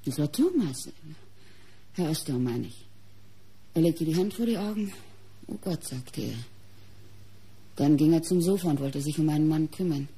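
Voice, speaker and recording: An actress in a German radio crime drama playing a painter's bitter, mocking wife, theatrical and measured in delivery. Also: female voice, low voice, expressive, warm tone, husky, full character voice. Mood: strained, shaken.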